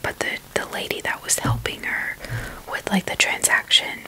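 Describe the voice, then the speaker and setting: A woman's voice: moderate pace, sharp tone, low-quality recording. A young woman whispering a casual, rambling ASMR chat in a hesitant way, with phrases that trail off. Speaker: ASMR video creator.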